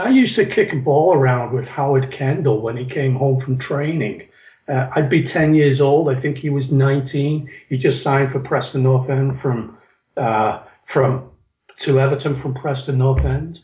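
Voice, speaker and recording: A man's voice: mid-range voice, mellow. A middle-aged man, telling stories from his youth as an interview guest. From England. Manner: storytelling, reflective, with some restarts. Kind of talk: reminiscing interview talk. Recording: echoey, slightly dull recording.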